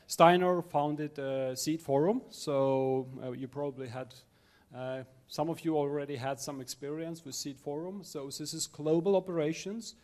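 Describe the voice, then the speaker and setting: A man moderating a seminar, introducing the next speaker haltingly with many fillers. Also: fairly high pitch, steady tone, a little mellow. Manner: hesitant, many fillers, non-native phrasing.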